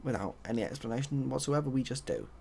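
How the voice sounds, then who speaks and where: A man playing a pragmatic female penguin in a storybook tale, reasoning in a deadpan, unbothered way. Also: medium pitch, fast. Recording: clearly audible background noise.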